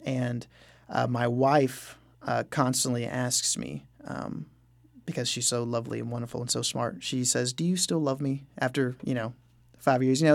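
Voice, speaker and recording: A man's voice: medium pitch, creaky. A man speaking as a musician interview guest, reflective and earnest in a conversational style.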